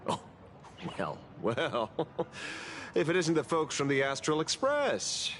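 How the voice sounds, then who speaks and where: An actor playing a roguish, amused, worldly muscle-for-hire in a video game, with a teasing, easygoing drawl. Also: male voice, a little high, huge pitch swings, takes it slowly, fairly bright tone, a little hiss or hum, heavily acted.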